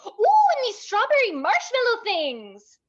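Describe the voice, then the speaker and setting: A woman playing a brash, bubbly, blunt burlesque veteran in an animated table read, gushing and bossy with heavy emphasis. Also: extremely high pitch, unhurried, lo-fi sound, exaggerated voice. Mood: bubbly, excited.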